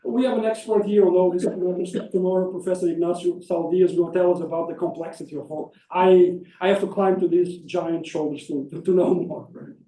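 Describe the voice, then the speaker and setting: A man's voice: high voice, steady tone, fairly quick, few pauses, dark tone. A man giving spontaneous academic answers, explaining his points and often checking in with "right?". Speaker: academic presenter answering questions. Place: indoor conference room. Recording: echoey room, distant mic.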